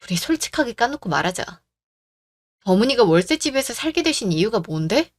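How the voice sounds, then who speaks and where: A woman plays a composed young heroine in a radio drama. She speaks calmly and reasons firmly. Her voice is a touch high. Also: a little fast, no room sound, studio quality. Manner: calm, reasoned and firm. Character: calm, firm young woman who stands her ground.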